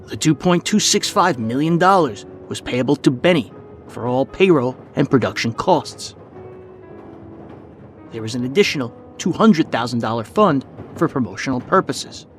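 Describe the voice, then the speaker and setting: A man's voice: mid-range voice, lively intonation, normal speed, soft background music. A man reading scripted documentary narration as a podcast host.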